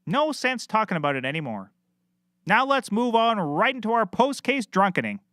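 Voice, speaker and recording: A man playing a bumbling, oblivious, excitable private detective in a scripted audio comedy, melodramatic and cheerfully clueless. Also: high voice, normal speed, no echo, clean studio sound. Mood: dramatic, rambling.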